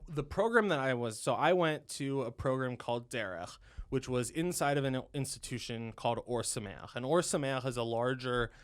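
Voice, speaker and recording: A man in his twenties from Ohio, a podcast guest chatting casually, rambling with lots of fillers and quick laughs. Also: medium pitch, animated, clear, resonant tone. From Ohio, USA. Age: 20s. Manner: rambling, heavy on "you know" and "um", laughs often. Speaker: podcast guest, dental student. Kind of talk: casual interview chat.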